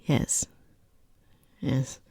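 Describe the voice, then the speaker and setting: A person voicing a rude, condescending boss in a soft-spoken ASMR roleplay, with a breathy half-whisper, hesitant pauses and dryly mocking, falsely polite understatement. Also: no room sound, clean studio sound. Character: rude, condescending boss conducting a job interview.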